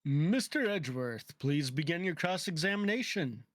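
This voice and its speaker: A woman voicing a solemn, formal judge in a courtroom video game, measured in manner. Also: low-pitched, animated, no room sound.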